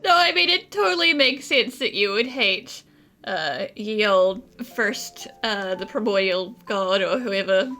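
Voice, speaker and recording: A woman's voice: mid-range voice, animated, measured pace, bright, forceful tone, faint music behind. A woman giving casual, joking, off-the-cuff commentary on a video game. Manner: joking, flippant. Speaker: gaming commentator. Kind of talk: casual improvised gameplay commentary.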